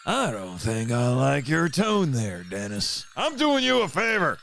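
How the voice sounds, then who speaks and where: Monster henchman, brash, dim, flippant, forceful sharp tone, creaky gravel, audio drama. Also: medium pitch, huge pitch swings, measured pace, full character voice. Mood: cocky, irritated. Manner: flippant, slangy.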